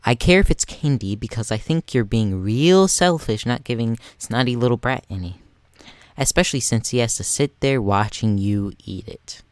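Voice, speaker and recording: A man's voice: mid-range voice, lively intonation, moderate pace, clear, resonant tone, no echo, close to an everyday voice. A teenage boy voicing an entitled, angry mother in a story, indignant and accusing. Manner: indignant, accusing, bursting into shouts.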